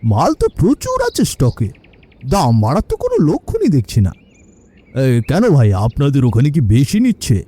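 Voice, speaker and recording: A man's voice: mid-range voice, huge pitch swings, fairly quick, warm tone, slightly rough. A man voicing a frank, friendly market-town shopkeeper in a cartoon. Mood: helpful. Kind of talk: cartoon dialogue.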